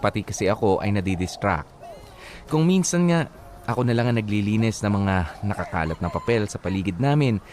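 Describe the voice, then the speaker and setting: An actor with a Filipino accent playing an orderly, fussy young man narrating his love story in a radio drama, measured and confiding. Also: fairly low pitch, a little mellow, a little hiss or hum, lightly acted. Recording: music bed underneath.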